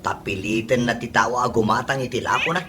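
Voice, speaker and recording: A man's voice: medium pitch, quick pace, noisy background, close to an everyday voice. An actor with an Ilocano accent playing a hard-up, apologetic man among drinking friends in a comedy radio drama.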